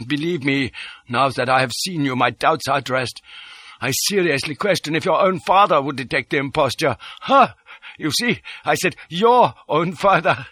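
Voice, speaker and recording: Man playing a bright-voiced, commanding, shrewd conspirator. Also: mid-range voice, no echo, pitched above the performer's own voice. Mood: grimly satisfied. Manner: brisk, formal, authoritative. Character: commanding, grimly shrewd male conspirator with formal courtesy.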